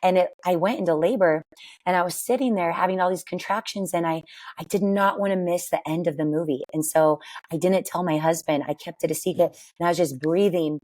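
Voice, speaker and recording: Raspy-voiced woman chatting easily. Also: on the low side, fairly quick. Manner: chatty, laughs often, lively storytelling. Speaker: guest, worship singer. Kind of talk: casual interview chat.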